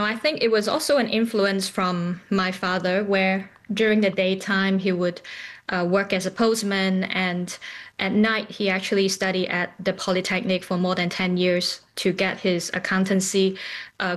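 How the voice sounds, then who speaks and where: A woman from Hong Kong answering interview questions as a company founder, often pausing mid-phrase. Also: mid-range voice. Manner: hesitant, frequent pauses mid-phrase.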